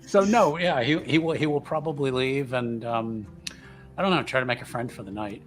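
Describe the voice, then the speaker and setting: A man's voice: mid-range voice, moderate pace, faint music behind. A man playing a tabletop game, talking through his character's choices hesitantly with many repeated words. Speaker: player. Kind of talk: casual in-character planning.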